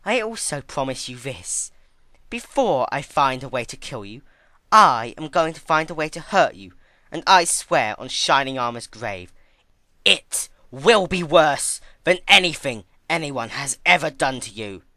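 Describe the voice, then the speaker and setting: A man's voice: mid-range voice, sing-song, unhurried, pushed and bright, no echo, voice pushed up, heavily acted. A man voicing an anguished, furious young female unicorn pony in a dramatic story reading, emotional and confrontational. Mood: cold resolve.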